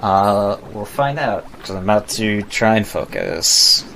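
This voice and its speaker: Low-voiced man streaming a game. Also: a little slow, bright, forceful tone. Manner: explanatory, self-deprecating. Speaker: streamer, speedrunner. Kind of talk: casual live game commentary. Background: faint game music.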